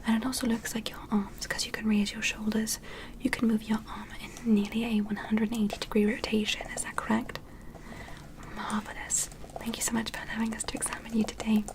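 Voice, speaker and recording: A woman playing a polite, curious alien medical examiner in an ASMR roleplay, speaking in a breathy whisper with a gentle, clinical, questioning manner. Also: fairly high pitch, natural voice, low-quality recording. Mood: gentle, instructing, then grateful, soothing.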